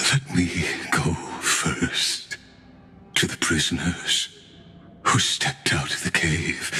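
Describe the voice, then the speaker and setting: A very deep, slow, weary scholar. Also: male voice, sharp tone, breathy edge, heavily acted. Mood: solemn, fading. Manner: solemn, weary, deliberate, sighing. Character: dying male scholar, calm, detached, remorseless, philosophical. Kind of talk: dramatic video game voice acting.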